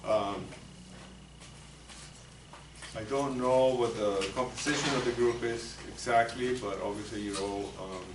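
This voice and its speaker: A man in his sixties, a native Spanish speaker with a Spanish accent, lecturing lawyers as a psychologist in a soft, hesitant way with many fillers. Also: mid-range voice, fairly even intonation, normal speed. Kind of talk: continuing-education lecture. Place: meeting room. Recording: echoey room, mic far off, noisy.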